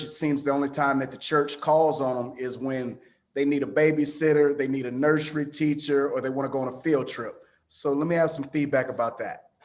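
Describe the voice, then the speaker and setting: A man interviewing guests, asking measured questions and affirming with repeated okays. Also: mid-range voice.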